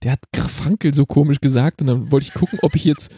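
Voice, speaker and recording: A man's voice: fairly high pitch, fast talker, warm tone, husky. A man in a casual film chat, retelling a scene step by step. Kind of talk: casual chat about films.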